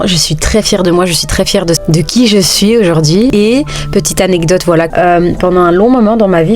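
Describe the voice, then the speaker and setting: Woman in her thirties, playful sassy vlog talk, slightly low pitch, code-switching. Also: a little mellow. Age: 30s. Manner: playful, sassy, jokes often; switches between French and Dutch. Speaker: vlogger. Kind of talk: casual chatty vlog.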